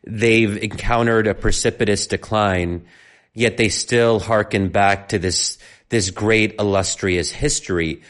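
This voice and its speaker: A man's voice: lowish voice, fairly even intonation, unhurried, a bit bright. An American man of Egyptian parents hosting a casual podcast discussion, thinking aloud and correcting himself as he goes. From United States, of Egyptian parents. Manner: thoughtful, rambling, self-correcting. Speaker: podcast host.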